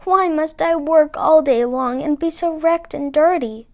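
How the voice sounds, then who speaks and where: A woman's voice: high-pitched, unhurried, pitched above the performer's own voice. A woman voicing a mistreated, wistful young fairy tale heroine in an earnest, exclamatory way. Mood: wistful, sad. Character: young Cinderella, mistreated, wistful, wide-eyed and eager. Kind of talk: storybook character voice.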